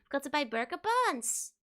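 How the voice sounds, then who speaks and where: A young woman streaming a game, hoarse, giggling and rambling playfully as she chats. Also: very high-pitched, sharp tone. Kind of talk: casual game stream chat.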